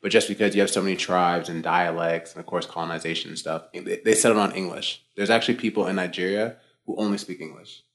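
Low-voiced, fast-talking young man. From United States (born Boston, raised Florida). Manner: relaxed, talkative, many fillers and self-restarts. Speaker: guest, fitness coach. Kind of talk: casual interview conversation.

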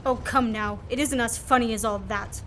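A woman acting in a radio play as a quick-witted, sardonic man on the run, with clipped banter. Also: on the high side, fairly even intonation, a bit bright, close to an everyday voice. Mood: wry, indignant. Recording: noisy student radio play recording.

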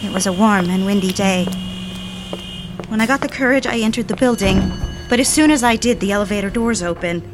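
A woman's voice: mid-range voice, fairly quick, background noise, lightly acted. A woman playing a lovelorn, self-doubting woman in an audio drama, earnest and confessional in her delivery. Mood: anguished. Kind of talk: dramatic first-person narration in audio drama. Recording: music under the voice. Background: music.

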